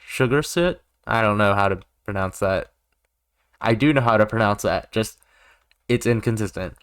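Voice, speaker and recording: A man's voice: on the low side, expressive, moderate pace, a bit bright, clear, resonant tone, no room sound, studio quality. A man doing let's play commentary, reading game narration aloud and dropping in joking asides. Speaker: gaming YouTuber. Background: music.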